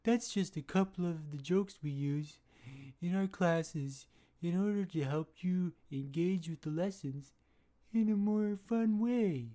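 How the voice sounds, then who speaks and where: A woman voicing a male huckster pitchman in a mock radio advert, delivering a slow, cheesy sales pitch. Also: mellow, lightly acted. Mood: salesy. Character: male huckster ad pitchman for a board game school.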